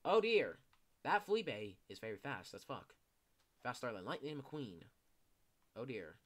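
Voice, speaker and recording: A young man giving casual, excitable commentary while playing a video game, swearing often and yelling when chased. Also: mid-range voice, measured pace. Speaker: gameplay commentator. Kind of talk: casual live gameplay commentary.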